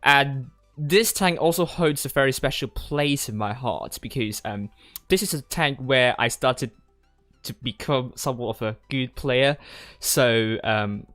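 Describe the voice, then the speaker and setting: A young man from Hong Kong talks casually on a livestream in a medium-pitched voice. He is hesitant and rambling, stumbling back over his words as he goes. The sound is dry, with soft music underneath. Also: unhurried, dry recording. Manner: hesitant, rambling, with stuttered word repeats. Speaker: gaming streamer and tournament commentator. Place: indoors at a desk in a gaming chair.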